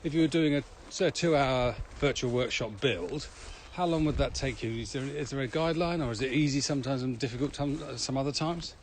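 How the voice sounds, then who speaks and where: A man's voice: medium pitch, a little fast, few pauses, slight background noise. A British man co-hosting a casual podcast, asking questions and echoing the answers back to sum them up. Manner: inquisitive, echoes and sums up answers. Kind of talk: casual conversational interviewing.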